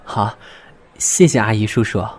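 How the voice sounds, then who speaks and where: A man's voice: fairly low pitch, a little slow, slight background noise, natural voice. A man playing a sweet, polite young man in an audio drama, with a boyish way of talking. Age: young adult. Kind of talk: Mandarin audio drama acting.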